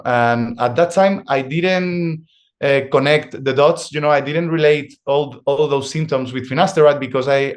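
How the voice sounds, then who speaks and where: A young man with a Spanish accent, speaking English that is not his first language in a mid-pitched voice. He talks haltingly, restarting phrases and pausing to search for words as he tells his story in an interview. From Spain. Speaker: guest. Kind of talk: conversational interview.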